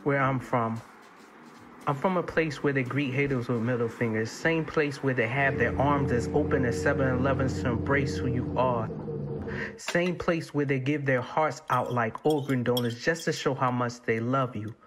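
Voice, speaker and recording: A man recites scripted poetry at a middling pitch. The reading is rhythmic, and some background noise sits behind the voice. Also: moderate pace. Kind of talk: scripted poetic narration.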